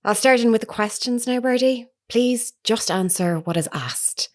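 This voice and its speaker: An actress playing an earnest, persistent woman reporter in an audio drama, formal, measured and insistent in a naturalistic style. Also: a little high, lightly acted. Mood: firm.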